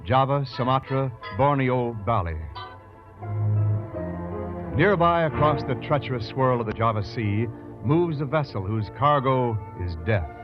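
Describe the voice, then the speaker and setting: A man's voice: mid-range voice, lively intonation, measured pace, highs cut off. Grand 1940s radio announcer, male, over music. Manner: grand, dramatic. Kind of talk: 1940s radio announcing, scripted dramatic narration. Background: loud music.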